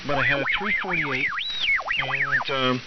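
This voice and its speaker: Ham radio guy chatting on AM, halting, repeats himself, really noisy static, sounds distant and muffled. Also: medium pitch. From United States. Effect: AM shortwave radio transmission. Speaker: amateur radio operator. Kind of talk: casual on-air radio chat. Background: loud radio static and tones.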